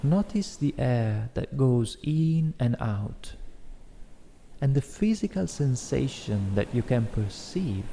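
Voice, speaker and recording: A man guiding a meditation in a gentle, soothing, instructional way, reading as scripted narration. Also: medium pitch, lively intonation, slow, vocal fry. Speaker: meditation guide. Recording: faint background noise, ambient music. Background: soft ambient music.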